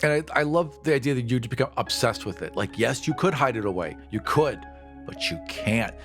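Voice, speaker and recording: A man's voice: medium pitch, animated, a little fast, faint music behind. A man chatting casually as a podcast panelist, telling improvised stories with emphatic, repeated phrasing. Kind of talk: casual improvised chat and storytelling.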